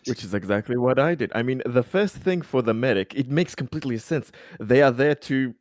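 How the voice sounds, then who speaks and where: A man's voice: medium pitch, animated, brisk, dry recording, studio quality. A man chatting and bantering casually as a player in a tabletop game.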